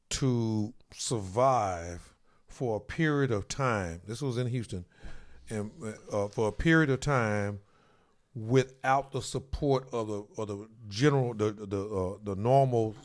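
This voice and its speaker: A middle-aged man hosts a sports talk show in the studio. His voice sits in an ordinary middle range, and he rambles, circling back and repeating words as he talks. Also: medium pitch.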